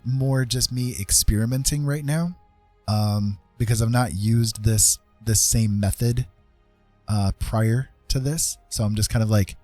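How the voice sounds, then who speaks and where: Low-voiced man musing aloud, music behind. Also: dark tone. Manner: thinking aloud, rambling, halting. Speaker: livestream host, digital artist. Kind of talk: casual chat while drawing on a livestream. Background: background music.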